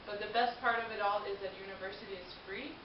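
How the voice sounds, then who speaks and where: A woman's voice: medium pitch, fairly even intonation, fast talker. A young adult woman from Denmark, a native Danish speaker, giving a prepared informative presentation to an audience. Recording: distant mic, roomy echo, muffled, hissy noise.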